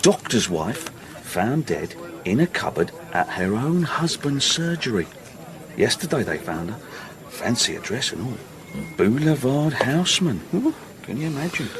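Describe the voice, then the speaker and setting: Gossipy waiter over busy cafe chatter. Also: male voice, mid-range voice, big pitch swings, a little slow. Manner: gossipy, eager, deferential. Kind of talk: radio drama acting. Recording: radio drama with busy cafe crowd.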